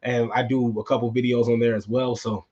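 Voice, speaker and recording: A man hosting a solo wrestling recap podcast, tired with a voice going hoarse, talking in a casual, rambling way that repeats itself. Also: mid-range voice, level tone, fairly quick, darkish tone, lo-fi sound. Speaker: podcast host.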